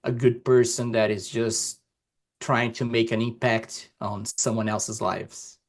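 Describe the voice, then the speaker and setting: Slow-speaking man. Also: medium pitch, level tone. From Brazil. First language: Portuguese. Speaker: podcast guest. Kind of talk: casual conversation. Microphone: video call.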